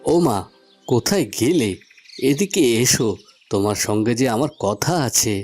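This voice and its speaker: A man's voice: mid-range voice, lively intonation, moderate pace, a little mellow, lightly acted. Man as an earnest young village son, music behind. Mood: earnest, eager. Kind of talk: Bengali cartoon dubbing.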